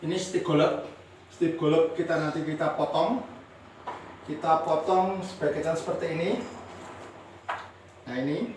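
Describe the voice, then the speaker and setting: A man's voice: a little high, steady tone, darkish tone. Man, echoey distant room. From Semarang, Indonesia. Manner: unhurried, explanatory, with pauses and filler sounds. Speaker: fishing channel host. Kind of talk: casual show-and-tell talk to camera. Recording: very echoey room, distant mic, faint ambient noise.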